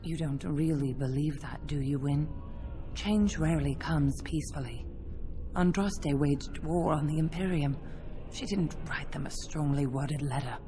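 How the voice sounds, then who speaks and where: A rebel blood mage with a fairly low voice. She is desperate yet defiant, speaking with dramatic passion in a video game scene over clear noise. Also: a little slow. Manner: pleading, impassioned.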